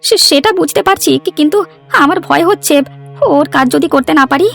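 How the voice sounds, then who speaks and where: A woman's voice: high-pitched, fairly quick, creaky, a bit bright, faint music behind. A woman voicing a timid, guilty village man in a cartoon story, hesitant, stammering and pleading. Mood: sheepish, afraid. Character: timid, guilty village man, hired henchman and henpecked husband.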